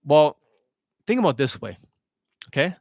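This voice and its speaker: A man's voice: a little high, sing-song, normal speed, gravelly, dry recording, studio quality. A man speaking as a dating coach on a livestream, answering questions in a blunt, instructional way with frequent rhetorical check-ins.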